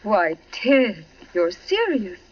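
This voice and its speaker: An actress playing an affectionate, secretive wife in an old radio drama. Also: female voice, medium pitch, big pitch swings, slow pace. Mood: evasive, pleading. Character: composed, evasive wife, affectionate yet secretive. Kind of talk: 1940s radio drama acting. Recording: muffled old radio broadcast recording.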